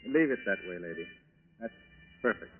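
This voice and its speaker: An actor playing a polite, cool-headed armed burglar in 1940s radio style, speaking courteously and unhurriedly. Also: male voice, lowish voice, lively intonation, faint music behind, lightly acted. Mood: calm, chatty. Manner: courteous, unhurried, chatty while menacing. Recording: muffled 1940s radio broadcast recording.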